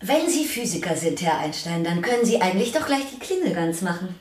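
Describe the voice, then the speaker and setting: A prim, suspicious housekeeper. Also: female voice, lowish voice, very animated, a little fast, darkish tone, reverberant. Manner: curt, prim, questioning. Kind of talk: radio play acting.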